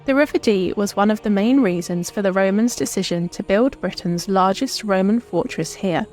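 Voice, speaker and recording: A woman's voice: medium pitch, normal speed, darkish tone, soft background music. A woman telling history from a script as a tour presenter.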